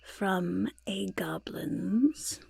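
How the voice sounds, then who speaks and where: A woman voicing a fussing, delighted goblin potion-maker in a soft-spoken ASMR roleplay, muttering and repeating words in a creaky, breathy half-whisper. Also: mid-range voice, very slow, exaggerated voice. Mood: doting, encouraging.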